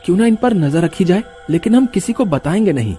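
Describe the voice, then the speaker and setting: A man's voice: high voice, fairly quick, over music. A man voicing a hot-headed young village man who talks in an agitated way. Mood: conspiring. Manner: lively, agitated.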